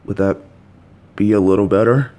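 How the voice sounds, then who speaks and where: A man's voice: fairly low pitch, steady tone, moderate pace, warm tone, close to an everyday voice. A man voicing a patient, slightly clueless, supportive middle-aged father who coaxes and reassures his child, with jokey asides. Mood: calm, coaxing. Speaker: voice actor in an audio roleplay. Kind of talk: listener-directed audio roleplay.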